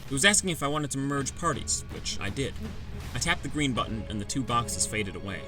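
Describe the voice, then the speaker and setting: A man with a medium-pitched voice narrates a fantasy audiobook in the first person. Music plays clearly behind his scripted reading. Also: lively intonation, brisk. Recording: loud music bed.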